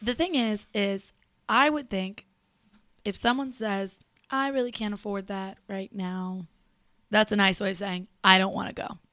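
Young female co-host, frank and chatty storyteller, mid-pitched, dry studio sound. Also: fairly even intonation, a little slow, fairly bright tone, no room sound. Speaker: podcast co-host. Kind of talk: casual chat.

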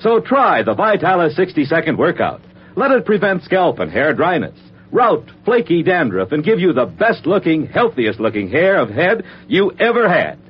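A man announcing a 1940s radio commercial, addressing the listener in a chatty, direct sales pitch. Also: very animated, normal speed, husky. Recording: muffled, humming old radio recording.

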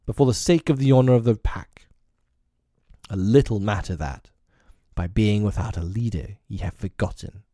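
A man's voice: on the low side, normal speed, mellow, no room sound, clean studio sound. A man voicing an old male wolf pack leader, proud and weary, speaking in a grave, formal way as he reads a storybook aloud. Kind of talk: storybook reading.

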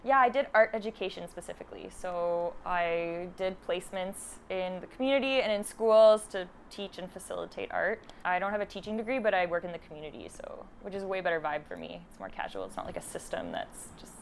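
A woman's voice: lowish voice, lively intonation, fairly quick, vocal fry, few pauses. Casual Canadian woman over background noise. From Ontario, Canada. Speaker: guest, artist and art teacher. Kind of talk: casual interview chat.

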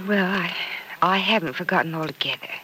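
An actress playing a warm, earnest young woman in an old radio soap opera, speaking with sighs, hesitations and small exclamations. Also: lowish voice, brisk. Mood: wistful. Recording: noisy vintage radio drama recording.

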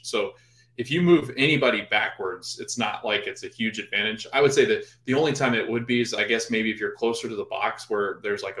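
A man talking through soccer calls on a podcast, looping back and restarting his thoughts as he goes. His voice sits fairly low, and the room around him rings with a noticeable echo. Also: a bit bright. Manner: rambling, full of fillers and restarts. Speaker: podcast co-host. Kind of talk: casual sports chat. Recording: roomy echo.

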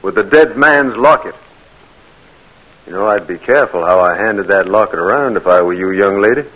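An actor playing a bitter, cynical Southern cousin in a 1940s radio drama, speaking in a sneering, accusing way. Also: male voice, on the low side, normal speed, fairly bright tone, lo-fi sound.

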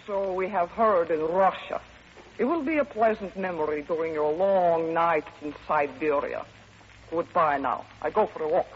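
An actress playing a stern, literal-minded Russian comrade in an old radio comedy, speaking in a clipped, deadpan, formal way. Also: female voice, lowish voice, normal speed, lightly acted. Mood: brisk, commanding. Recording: dull, noisy vintage radio recording.

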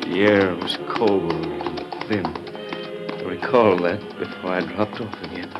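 An actor playing an earnest army major undercover as a gunfighter, telling his story gravely in an old-time radio drama. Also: low-pitched, steady tone, takes it slowly, highs cut off, over music. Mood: grave, weary. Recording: noisy vintage radio recording.

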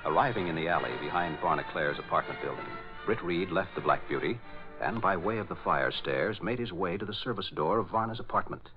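A man's voice: low-pitched, fairly quick, lightly acted. A man narrating a radio serial in a dramatic 1940s style. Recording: muffled old radio recording. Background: music.

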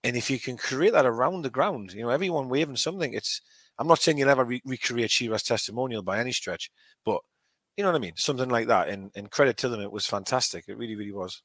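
A man's voice: medium pitch, quick pace, a bit bright, no echo, studio quality. A man with a Geordie accent talking bluntly and frankly as a podcast co-host. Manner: blunt, frank, coarse-spoken. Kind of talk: casual chat.

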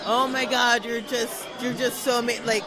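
A woman's voice: fairly high pitch, flat delivery, normal speed, poor audio. An American woman chatting casually and emphatically as an interview guest, often doubling back on her phrases. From Florida, US. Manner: effusive, emphatic, repeats herself. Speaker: cosplayer being interviewed. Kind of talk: casual excited interview chat. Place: busy fan convention. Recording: music and crowd noise behind. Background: music, crowd.

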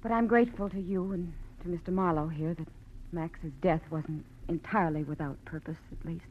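An actress playing an anxious, indignant widow in a classic radio detective drama, dramatic and precise. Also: female voice, lowish voice, fairly even intonation, normal speed, close to an everyday voice. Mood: grave. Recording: very muffled, noisy old radio recording.

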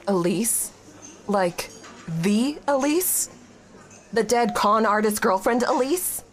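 A woman's voice: on the high side, lively intonation, slow pace. Brash, sassy young woman. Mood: incredulous. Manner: brash, confrontational. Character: sassy, protective young female friend. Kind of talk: audio drama acting.

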